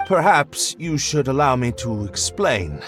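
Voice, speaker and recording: Lively, bookish anime gentleman. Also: medium pitch, a little slow, faint music behind. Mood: apologetic. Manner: formal, precise. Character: courteous, bookish, apologetic young gentleman. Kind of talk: anime game voice acting, scripted dialogue.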